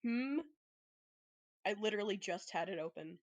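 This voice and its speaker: A woman's voice: mid-range voice, animated, normal speed, no echo. A woman playing in a tabletop role-playing game, chatting in a playful, teasing way and laughing readily. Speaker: player. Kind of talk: casual tabletop game chat.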